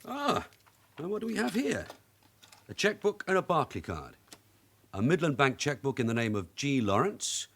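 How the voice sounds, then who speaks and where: Shrewd veteran London detective sergeant, radio drama; dry, brisk, unfussy, with animated swings of pitch. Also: male voice, gravelly, slight background noise, heavily acted. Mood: firm, sardonic. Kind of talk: naturalistic British radio drama acting.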